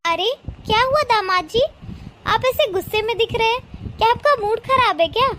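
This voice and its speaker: Woman doing cartoon mom in a moral story, crazy high squeaky pitch, dramatic, protective, reproachful. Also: moderate pace, a bit bright, a little hiss or hum. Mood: puzzled, offended. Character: protective, indignant mother-in-law and her young married daughter. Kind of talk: animated moral-story dialogue.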